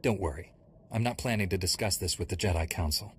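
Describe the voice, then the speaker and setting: An actor playing a calm, resolute Jedi master in a video game, matter-of-fact and protective. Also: male voice, sits low, quick pace, slight background noise, close to an everyday voice. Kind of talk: dramatic video game acting.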